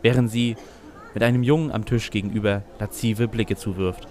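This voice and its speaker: A man's voice: lowish voice, steady tone, normal speed, a little mellow. A man reading a scripted first-person narration for a fan fiction audio drama.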